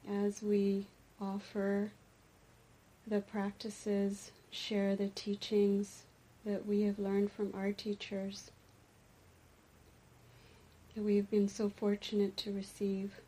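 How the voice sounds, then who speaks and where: A woman teaching a guided meditation, speaking deliberately in short phrases with pauses between them. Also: mid-range voice, monotone, dark tone, husky, poor audio. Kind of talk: guided meditation and dharma talk.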